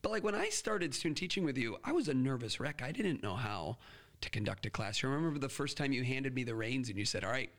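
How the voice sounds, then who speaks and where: A young man hosting a podcast interview, telling stories with lively acted-out quotes. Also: mid-range voice, fast talker. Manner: animated storyteller, acts out quotes. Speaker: podcast host.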